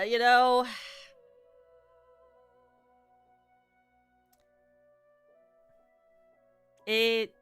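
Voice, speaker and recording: Chatty young woman streamer, bright voice. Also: fairly high pitch, smooth. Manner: chatty and rambling, playful teasing. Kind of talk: casual livestream chat.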